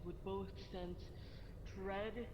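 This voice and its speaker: A game master narrating an online role-play in a halting, deliberate way. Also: mid-range voice, steady tone. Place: online VRChat voice session. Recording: dull, echoey, reverberant, noisy audio.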